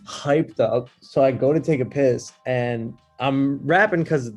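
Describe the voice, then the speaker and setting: A man's voice: medium pitch, slow, soft background music. A man guesting on a podcast, telling stories in a laid-back way and laughing as he goes. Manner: laid-back storyteller, laughs mid-story. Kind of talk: casual storytelling chat.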